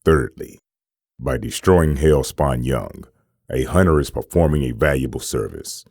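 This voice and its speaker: A man performing a grave, clinical narrator who reads a demon-hunting manual in a measured, formal, gravelly voice, as scripted comic-dub narration. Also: very deep voice, lively intonation, darkish tone, no room sound, clean studio sound, exaggerated voice. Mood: cold, instructive.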